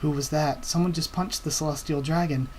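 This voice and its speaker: A young man reacting live to a new manga chapter, excitable and thinking aloud with stammering restarts. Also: fairly high pitch, fairly quick, a little hiss or hum.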